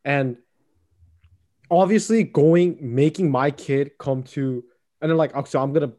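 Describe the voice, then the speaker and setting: A man's voice: a little high, unhurried, warm tone. A young man in casual chat, speaking thoughtfully and hesitantly with restarts and "you know". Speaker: friend in a group chat.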